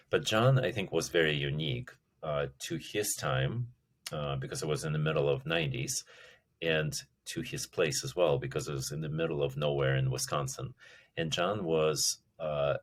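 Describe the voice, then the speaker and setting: Man, forties, very deep voice, halting, restarts, casual interview guest. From Russia. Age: 40s. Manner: halting, many restarts and fillers, laughs easily. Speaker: company CEO guest.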